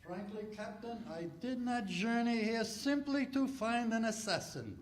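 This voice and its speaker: A male actor as a formal Nazi major in a 1940s radio drama. The voice is high for a man. He speaks in clipped, commanding phrases, courteous on top and menacing underneath. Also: expressive, measured pace. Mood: stern.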